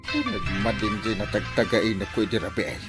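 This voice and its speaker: A man's voice: lowish voice, moderate pace, music in the background, close to an everyday voice. A man with an Ilocano Filipino accent playing a henpecked, bumbling village captain, stammering and exclaiming in an amateur comedy skit. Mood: puzzled. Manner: stammering, full of startled exclamations.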